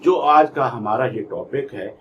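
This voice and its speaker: A man with a Pakistani accent giving an instructional lecture in a deliberate, emphatic way, repeating key terms. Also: medium pitch, animated, dull audio. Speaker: law lecturer, YouTube channel host. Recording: faint background noise.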